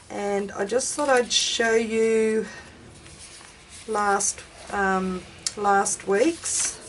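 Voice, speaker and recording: An Australian woman chats her way slowly through a craft demonstration, her voice a little raspy with a chest infection. She rambles and talks to herself, poking fun at herself as she goes. A faint noise sits behind her voice. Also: medium pitch. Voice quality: slightly raspy. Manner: self-talking, rambling, self-deprecating. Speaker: craft video host. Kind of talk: casual chatty craft demonstration.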